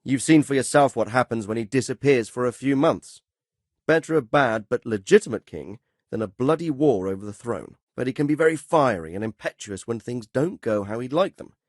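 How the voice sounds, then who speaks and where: A man playing a measured, fatherly but stern middle-aged medieval lord in a video game, speaking formally and with authority. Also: mid-range voice, animated, dry recording, clean studio sound, natural voice. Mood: measured, explaining. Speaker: lord giving orders and counsel. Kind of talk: dramatic video game dialogue.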